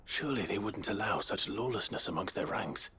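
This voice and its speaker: A man's voice: fairly low pitch, lively intonation, a little fast, pushed and bright, breathy edge, full character voice. An actor playing a grim, resolute noble swordsman in a video game, restrained and terse. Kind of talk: video game cutscene acting.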